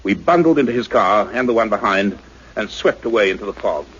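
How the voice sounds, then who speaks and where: A man playing an earnest scientist doctor in a radio play, narrating his own adventure in a measured, suspenseful, literary way. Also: fairly low pitch, lively intonation, fairly bright tone. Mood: grave suspense. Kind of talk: dramatic first-person radio play narration. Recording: dull noisy radio play recording.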